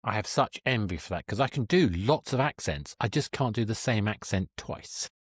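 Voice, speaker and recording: A man's voice: lowish voice, animated, slightly rough, no echo, clean studio sound. A man hosting a podcast, chatting casually in an interview and often breaking off to restart his thought. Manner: halting, self-interrupting. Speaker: podcast host.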